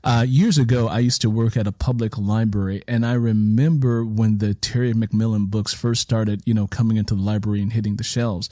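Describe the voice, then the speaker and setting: A man's voice: on the low side, fairly even intonation, fairly quick, hardly any pauses, dark tone, dry recording, studio quality. A man with an American accent hosting a conversational interview and sharing his own anecdotes. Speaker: interview show host and author.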